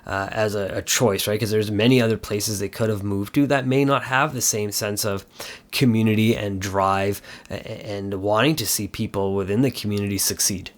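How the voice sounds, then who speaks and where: A man's voice: fairly low pitch, steady tone, moderate pace. A man co-hosting a podcast, talking casually and earnestly in a hesitant, rambling way full of "you know". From Canada. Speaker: podcast co-host.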